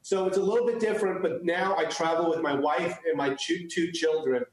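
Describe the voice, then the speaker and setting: Male interview guest, native Chinese speaker, earnest with frequent restarts, slightly high voice, very echoey room. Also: fairly even intonation, brisk. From United States. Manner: earnest, many restarts and fillers. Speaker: guest, photographer. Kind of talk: interview answers.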